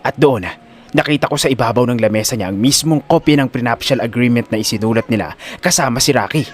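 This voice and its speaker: A scheming father in an audio drama, played by a man. He sounds grave and tightly angry. His words come out deliberate and tense. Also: medium pitch, voice pushed down, lightly acted. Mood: grim, angry. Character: grim, angry scheming father bearing bad news. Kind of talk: audio drama character acting.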